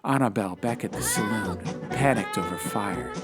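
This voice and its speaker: Man, low voice, dramatic scripted story narration, literary delivery, clear background music. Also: normal speed. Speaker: audiobook narrator. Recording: music behind the voice.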